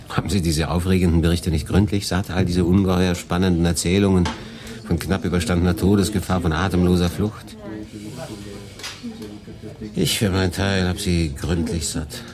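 An actor playing a world-weary German refugee in a wartime radio play, confiding and rambling to a silent listener. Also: male voice, sits low, steady tone, moderate pace, pauses often, natural voice. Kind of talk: radio play acting, conversational monologue. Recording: busy café murmur behind. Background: crowd in a café.

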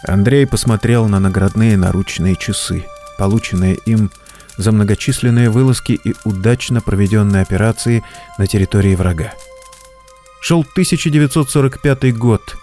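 Mellow male storyteller over faint music. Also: on the low side, normal speed. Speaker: narrator of an audio drama. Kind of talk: scripted dramatic narration.

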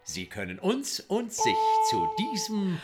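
Slow, solemn female promoter. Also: mid-range voice, huge pitch swings, over loud music. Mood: calculating. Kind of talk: stylised radio drama declamation.

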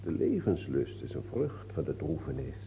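A man acting in a radio play as an old imprisoned bishop, mocking and melancholic, declamatory and given to breathy murmurs. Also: rumbling low, sing-song, moderate pace, heavily acted. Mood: rapturous, morbid. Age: older. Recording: dull old radio recording, hiss and hum.

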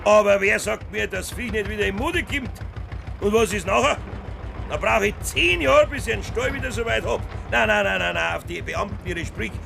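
A man's voice: sits high, moderate pace, vocal fry, sharp tone, slight background noise, heavily acted. A man playing a stubborn, boastful middle-aged farmer and father in a radio play, speaking emphatic Bavarian dialect. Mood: stubborn, blustering. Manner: emphatic, blustering dialect speech. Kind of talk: naturalistic German radio play acting.

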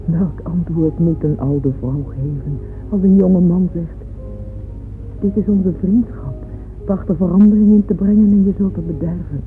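Actress as slow, weary old woman remembering. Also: lowish voice, lightly acted. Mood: weary, wistful. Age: older. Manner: slow, reflective, intimate address. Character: weary old Russian noblewoman, wistful, devoted, brooding on memories. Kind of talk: radio drama monologue. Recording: muffled old radio recording, music behind.

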